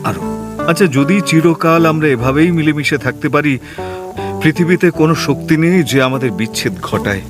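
An actor playing a young, newly married husband who stammers and fusses in an audio drama. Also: male voice, a little high, moderate pace, over music, natural voice. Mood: tender.

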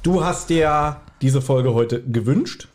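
A man's voice: medium pitch, slow pace, a little mellow. A man hosting a casual podcast chat in a teasing, joking way. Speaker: podcast host.

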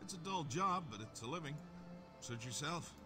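A man's voice: fairly high pitch, expressive, normal speed, over music, natural voice. An actor playing a bored, sarcastic watchman in a video game, blunt and dismissive. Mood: exasperated, dry. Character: bored, sarcastic male watchman blocking a rampart. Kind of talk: video game character acting.

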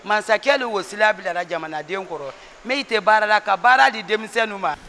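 A woman's voice: mid-range voice, brisk, bright, forceful tone, slight background noise. A middle-aged woman from Guinea answering a street interview indoors, firmly repeating her main point. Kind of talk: vox pop answer. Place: indoors by a wall.

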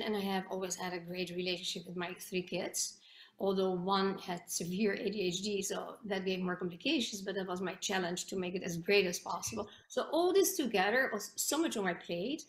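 A middle-aged Dutch woman with a Dutch accent, talking earnestly as a guest on a video call interview, with many restarts and fillers. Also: medium pitch. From Netherlands. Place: home room with bookshelves. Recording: echoey room on a video call.

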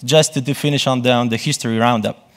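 A man gives a conference presentation from a stage, often pausing and rephrasing himself. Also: mid-range voice, steady tone. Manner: halting, self-correcting delivery. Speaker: conference speaker.

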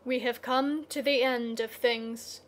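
A woman's voice: fairly high pitch, steady tone, slow. Storybook farmer read by a woman: ordinary mortal man, plain-spoken, loving husband. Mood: quiet, bitter.

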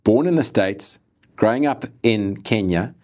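A man in his thirties hosting a podcast interview, eager and quick to react, often chiming in with wows. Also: fairly low pitch, animated, a little mellow. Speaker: podcast host. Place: ornate room, seated interview. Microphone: studio microphone on table.

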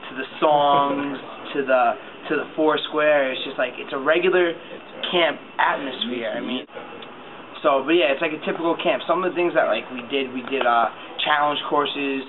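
Casual male, early twenties, mid-pitched, dull camera audio over noticeable background noise. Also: fairly even intonation, normal speed. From Long Island, United States. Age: 20s. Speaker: college fraternity volunteer. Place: indoor lounge. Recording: muffled camera audio, noisy room.

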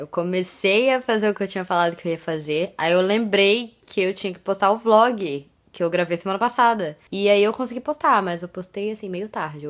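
Chatty young woman vlogging at home. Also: on the low side. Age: young adult. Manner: chatty, laughs often, many fillers. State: slightly stuffy from a cold. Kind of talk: casual vlog chat. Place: small bedroom at home. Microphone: phone camera at arm's length.

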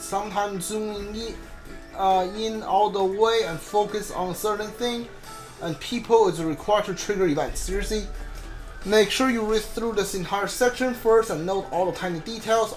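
A gamer giving casual playthrough commentary, halting and muttering, at times reading a guide aloud. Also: medium pitch.

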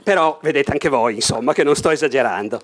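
An older man presenting a board war game in a bright indoor room, talking with enthusiasm, leaning over the table and gesturing as he explains. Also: medium pitch, fairly quick. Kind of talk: enthusiastic unboxing talk.

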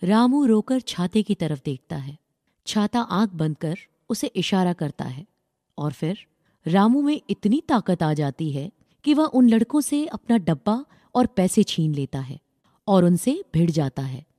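A woman's voice: lowish voice, big pitch swings, unhurried, warm tone, no echo, studio quality. A woman narrating a scripted children's story.

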